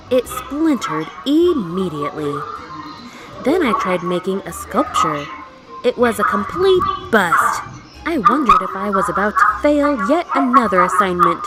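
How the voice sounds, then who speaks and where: A woman reads a picture book aloud for children. Her delivery is unhurried and highly animated, with dramatic emphasis on key words. Loud music plays behind her voice throughout. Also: low-quality recording. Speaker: storybook reader. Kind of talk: expressive children's story read-aloud. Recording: loud music bed under the voice.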